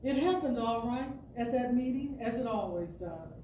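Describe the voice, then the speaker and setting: A woman giving an expressive reading of an inspirational talk, like a storyteller, with deliberate phrasing and emphatic pauses. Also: on the high side. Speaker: storyteller and speaker at a spiritual center. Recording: distant roomy pickup with background noise.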